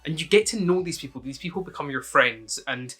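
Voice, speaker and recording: A man's voice: fairly high pitch, fairly quick, sharp tone, husky. A young Scottish man, a university student, giving friendly, step-by-step advice as he answers viewers' questions one by one. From Scotland. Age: young adult. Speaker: student video host. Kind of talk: casual advice talk to camera.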